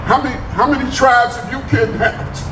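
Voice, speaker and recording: A man's voice: very high-pitched, steady tone, moderate pace. A man giving an earnest, emphatic personal tribute to an audience, often repeating his words. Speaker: guest speaker giving a tribute. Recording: echoey distant recording with clear background noise.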